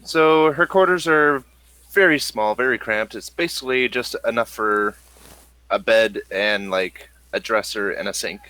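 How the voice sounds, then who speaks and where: A man narrating a tabletop role-playing game as game master, improvising in a halting, conversational way. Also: mid-range voice, bright, forceful tone, poor audio.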